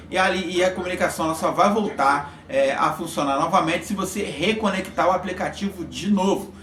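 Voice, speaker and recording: High-voiced Brazilian man, echoey room. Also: a bit bright. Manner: halting, repetitive, often checking with "tá". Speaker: vlogger reviewing motorcycle communication gear. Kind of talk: informal explanatory product talk. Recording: echoey, roomy sound.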